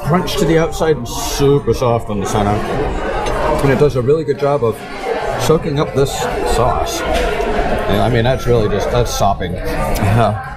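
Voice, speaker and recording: A man in his fifties hosts a food vlog, describing dish after dish in a relaxed, talkative way and laughing often. He is recorded by a camera on the table in a packed dining room. Loud chatter and music fill the background. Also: mid-range voice, unhurried. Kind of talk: casual restaurant review to camera. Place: busy restaurant dining room by a window. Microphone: action camera on the table. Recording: busy restaurant with music. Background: restaurant chatter and background music.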